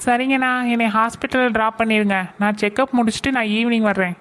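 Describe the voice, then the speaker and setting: A woman playing a polite visiting sister and mother in an animated kids' story. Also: on the high side, fast talker, close to an everyday voice.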